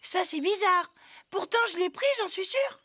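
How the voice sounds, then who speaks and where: A woman's voice: extremely high pitch, animated, normal speed, fairly bright tone. A woman voicing an anxious, prim little female delivery vehicle in a children's cartoon, exclamatory and dramatic. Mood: defensive.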